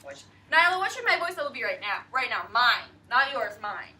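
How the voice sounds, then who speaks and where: A woman teacher leading a class of young children, slow and emphatic, asking the class questions. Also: on the high side, big pitch swings, sharp tone. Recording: distant classroom mic, faint noise.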